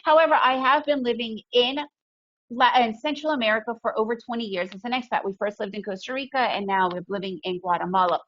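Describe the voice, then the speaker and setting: Russian-born woman presenter with a fairly high voice, upbeat and emphatic, on a muffled video-call mic. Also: fast, fairly bright tone. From Soviet Union, raised in the US. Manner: upbeat, emphatic, often adds "right?". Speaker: webinar presenter, day trader. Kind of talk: webinar presentation. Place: home in Guatemala. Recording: dull lo-fi webinar audio.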